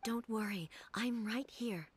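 An actress playing a calm, powerful female ruler in a video game, speaking formally and with measured composure. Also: fairly high pitch, slight background noise, close to an everyday voice. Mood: calm reassurance. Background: background music.

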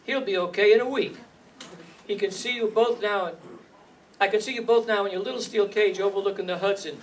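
Hardboiled radio gumshoe, played by a man: sardonic wisecracks in a high voice, distant hall mic with faint noise. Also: a little fast, natural voice. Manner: wry, sardonic. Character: wisecracking, streetwise male hardboiled radio detective. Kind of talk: 1950s radio noir acting and narration. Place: live stage reading in a meeting hall.

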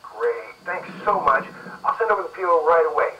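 A man's voice: fairly high pitch, expressive, moderate pace, highs cut off, a little hiss or hum, close to an everyday voice. A man in his fifties acting as a brusque, self-justifying manager in a training drama. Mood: businesslike. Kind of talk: acted corporate training drama. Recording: distant, re-filmed off a TV screen.

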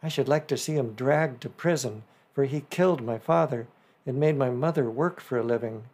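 A man's voice: mid-range voice, normal speed, darkish tone, slightly rough. A man voicing a shrewd, polite fifteen-year-old newsboy who talks eagerly and respectfully. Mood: bitter.

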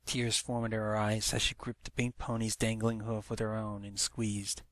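A man's voice: fairly low pitch, flat delivery, normal speed, fairly bright tone, no echo, studio quality. A man reading a story aloud as narrator, in a dramatic storytelling manner. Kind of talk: scripted story narration, fan fiction read-aloud.

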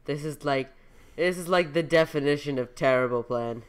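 A playful voice of middle pitch reacts casually, as if chatting with a friend. The delivery is teasing and loose, with free swearing and joking asides. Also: moderate pace. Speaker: comic dubber reading and reacting. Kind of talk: casual joking reaction commentary with read-out dub lines.

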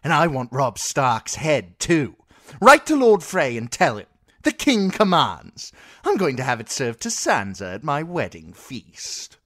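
A man voicing a spoiled, cruel teenage boy king who whines and shouts his demands. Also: huge pitch swings, measured pace, no echo, studio quality, heavily acted. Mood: demanding. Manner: shrill, whiny, shouting demands.

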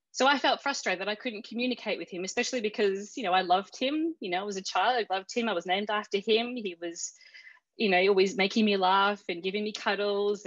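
A woman's voice: mid-range voice, a bit bright, no room sound, muffled recording. An Australian woman telling a personal story in a casual interview, chatty, with many fillers and restarts. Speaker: interview guest.

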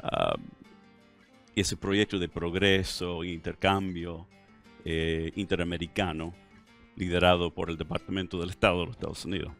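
A man's voice: low voice, level tone, normal speed, vocal fry, fairly bright tone, soft background music. A middle-aged man from California speaking Spanish with a mixed Chilean, Mexican and American accent, hesitant with many fillers as an interview guest in a studio. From California, United States. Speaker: guest historian. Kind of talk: relaxed interview conversation. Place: TV studio set. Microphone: headset mic.

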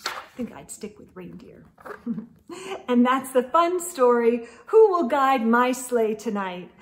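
A middle-aged woman hosting a read-aloud storytime for young children, with an animated, exaggerated delivery and hushed asides. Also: a little high, slow, a little hiss or hum. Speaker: children's storytime host and reader. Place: indoor room lined with bookshelves.

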